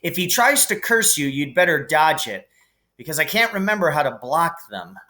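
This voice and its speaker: A man's voice: fairly high pitch, sing-song, normal speed, pushed and bright, pitched above the performer's own voice. A man voicing a brash, hot-headed schoolboy in a blunt, offhand way for a storybook.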